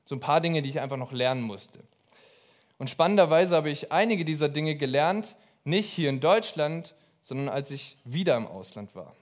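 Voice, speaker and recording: A young man preaching a sermon in an earnest, emphatic way, pausing between phrases. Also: a little high, expressive. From abroad.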